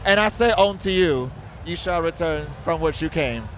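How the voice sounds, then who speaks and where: A man in a mock-preacher persona, speaking with solemn, deadpan sermon delivery. He is losing his voice, and he is at a crowded expo hall. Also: fairly high pitch, normal speed, slight background noise. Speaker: costumed guest.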